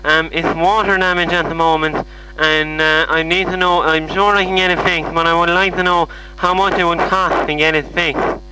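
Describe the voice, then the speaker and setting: A man's voice: fairly high pitch, little pitch movement, normal speed, bright, forceful tone, slight background noise. A man with an Irish accent voicing a confused, apologetic, hard-of-hearing middle-aged caller, hesitant and repeating himself in a prank phone call. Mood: apologetic, muddled. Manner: muddled, hesitant, repetitive. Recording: humming phone call recording.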